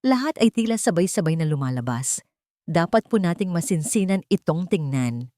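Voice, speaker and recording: A formal, puzzled lawyer in a story drama, voiced by a woman narrator. He speaks politely and carefully in a lowish, dry-recorded voice. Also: sing-song, moderate pace, dark tone, no room sound. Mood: concerned. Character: puzzled male family lawyer, formal and cautious.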